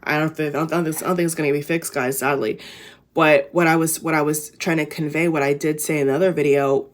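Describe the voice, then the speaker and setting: A tired woman with a raspy voice, talking casually and at length to her viewers in a rambling inspirational vlog full of fillers and restarts. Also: low voice, steady tone, fast talker. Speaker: vlogger. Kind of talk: casual inspirational talk to camera.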